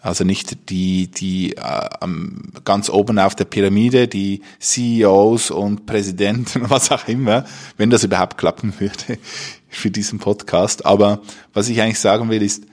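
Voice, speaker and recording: A man hosting a podcast, speaking casually and off the cuff, haltingly searching for words. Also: lowish voice, steady tone, a little mellow. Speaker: podcast host and interviewer. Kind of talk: casual unscripted podcast talk.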